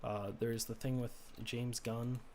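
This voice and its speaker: A young man from the United States streaming a game, musing in a low-key, rambling way and keeping his voice lower than usual. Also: flat delivery, measured pace, darkish tone, a little hiss or hum. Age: teens. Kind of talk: casual chat while gaming.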